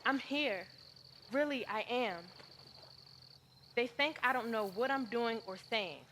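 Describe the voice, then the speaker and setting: A woman performing a dramatic monologue as someone wounded and defiant who feels invisible, speaking deliberately and emphatically with pleading pauses. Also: a little high, fairly bright tone, slight background noise. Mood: hurt, insistent.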